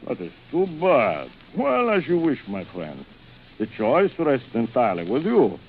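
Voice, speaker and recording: An actor playing a suave, cruel aristocratic older general who hunts men, in urbane, theatrical 1940s radio thriller style with savored pauses. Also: male voice, mid-range voice, wildly swooping pitch. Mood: silky threat. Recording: muffled, noisy vintage radio recording.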